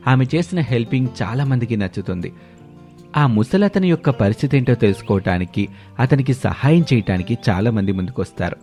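A man's voice: medium pitch, animated, a little fast, dark tone. A man narrating a scripted moral story as a storyteller. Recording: background music under the voice.

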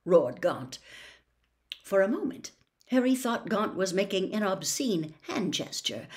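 Older woman reading a story, very animated. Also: mid-range voice. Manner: theatrical, animated. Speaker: story reader, host. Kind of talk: expressive storybook narration. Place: small home room with bookshelves.

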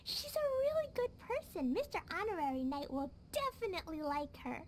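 A woman's voice: very high voice, big pitch swings, a little fast, slight background noise. A woman voicing a cheerful, innocent, affectionate little girl in a video game, speaking in a childlike way. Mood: adoring. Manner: childlike, speaks of self in third person. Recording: music behind the voice.

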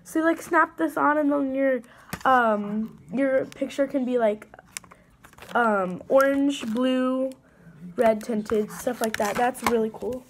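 High-voiced young woman with a stuffy nose. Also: takes it slowly, a little hiss or hum. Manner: chatty, excitable, rambling with fillers. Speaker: vlogger. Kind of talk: casual unboxing chat. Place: indoors at a wooden table.

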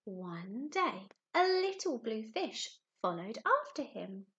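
A young woman, a primary teacher doing story time for small children with expressive, simple phrasing and questions. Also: a little high, slow, muffled recording, slight background noise. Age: young adult. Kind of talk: children's story time, talking to young pupils and reading aloud. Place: small room at home, indoors.

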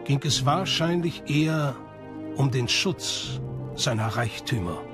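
A man's voice: mid-range voice, lively intonation, slow pace. A man reading scripted narration for a documentary. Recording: music behind the voice. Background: background music.

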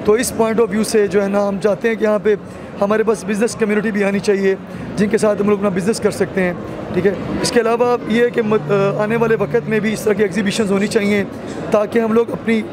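A man's voice: sits high, flat delivery, brisk, crowd noise. A man in his thirties with a Pakistani accent, a company representative answering business questions in an interview into a handheld microphone. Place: busy indoor expo hall. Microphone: handheld reporter microphone. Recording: noisy crowded exhibition hall.

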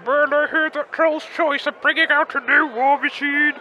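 A man's voice: very high voice, flat delivery, moderate pace, sharp tone, a faint crowd, heavily acted. A man playing a simple, earnest, eager wasteland punter in a comedic radio drama.

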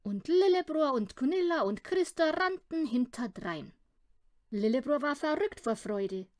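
A woman's voice: high-pitched, animated, measured pace, darkish tone, no echo, clean studio sound. A woman reading a children's audiobook, narrating the story expressively. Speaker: audiobook reader.

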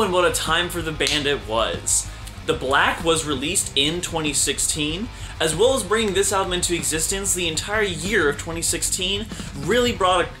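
Young male commentary host, casual and jokey, desk webcam in a CD-lined room. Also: a little high. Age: young adult. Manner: sarcastic, jokey asides. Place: room with shelves of CDs.

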